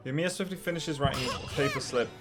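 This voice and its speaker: A woman doing casual let's-play commentary with short, low-key reactions as a video creator. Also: fast, loud music behind the voice.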